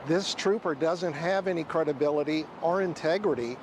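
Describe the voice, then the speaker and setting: High-pitched man over street noise. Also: normal speed. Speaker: watchdog group president being interviewed. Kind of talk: news interview commentary.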